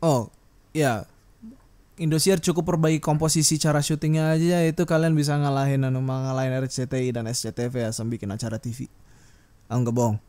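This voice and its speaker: A man's voice: mid-range voice, steady tone, brisk, dark tone. A man with an Indonesian accent delivering a casual, unscripted podcast rant in a blunt, rambling, slangy way with some mild swearing. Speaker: solo podcast host. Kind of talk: unscripted casual ranting monologue.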